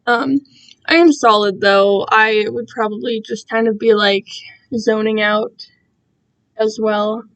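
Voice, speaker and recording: A woman's voice: fairly high pitch, steady tone, slow, a bit bright, low-quality recording. A young woman chatting casually on a livestream in a rambling, self-deprecating way full of giggly internet slang. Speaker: amateur writer reading own fan fiction.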